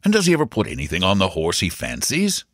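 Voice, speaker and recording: A hearty gentleman uncle acted by a male reader with hugely swooping intonation. He sounds indulgent and amused, teasing and questioning in lively storybook dialogue. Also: low voice, quick pace, no room sound, studio quality, heavily acted. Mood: curious, friendly. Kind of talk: audiobook character dialogue.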